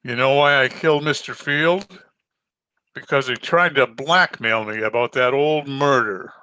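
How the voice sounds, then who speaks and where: Man acting a slow, brooding radio-mystery killer. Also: mid-range voice, sharp tone, clean tone, no echo, lo-fi sound. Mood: grim. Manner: halting, grave, guarded. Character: brooding, secretive male murderer torn by love. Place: at home, online video-call performance. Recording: noisy home internet-call recording.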